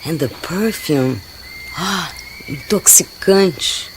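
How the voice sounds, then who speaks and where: A woman's voice: low-pitched, slight background noise. A blunt Brazilian woman. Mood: teasing. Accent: Brazilian Portuguese. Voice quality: lively, drawn-out delivery with Portuguese words mixed in. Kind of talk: radio drama acting. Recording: radio play with night insect sounds.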